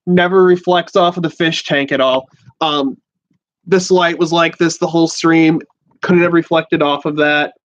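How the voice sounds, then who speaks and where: A man in his twenties from the USA, hosting a livestream from a small room at home, exclaiming and swearing with halting restarts. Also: a little high, steady tone. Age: 20s. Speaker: podcast host livestreaming. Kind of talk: excited casual livestream chat. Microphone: laptop and handheld phone. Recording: dull lo-fi stream audio.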